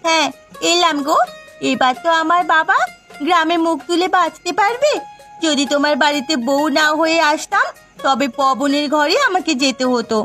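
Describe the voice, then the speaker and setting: A woman's voice: high voice, moderate pace, bright, forceful tone. A woman playing a dutiful, caring young village daughter in a cartoon, earnest and resolute in a melodramatic style. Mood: relieved. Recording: music behind the voice.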